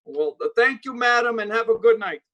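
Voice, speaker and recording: A woman's voice: a little high, expressive, normal speed, highs cut off, close to an everyday voice. A woman playing a polite, matter-of-fact telegraph clerk in an old-time radio drama, formal and slightly hesitant, with clipped phrasing. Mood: businesslike, neutral.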